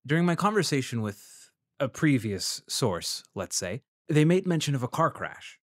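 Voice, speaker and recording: A man plays a tactful investigative journalist in a podcast drama. His voice sits at a middle pitch and moves carefully, measured and a touch apologetic, recorded very dry. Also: very animated, dry recording, close to an everyday voice. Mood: measured, reflective. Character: earnest, tactful male freelance journalist narrating his investigation. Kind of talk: fiction podcast acting, scripted first-person narration.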